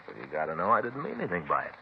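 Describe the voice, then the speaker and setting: A man's voice: low-pitched, huge pitch swings, rapid-fire, gravelly, full character voice. A man playing a fretful, rambling American police detective in a 1950s radio drama, folksy and plaintive in his long-winded way of talking. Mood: defensive, aggrieved. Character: fretful, rambling married male police detective, aggrieved sidekick. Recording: muffled, hissy old radio broadcast.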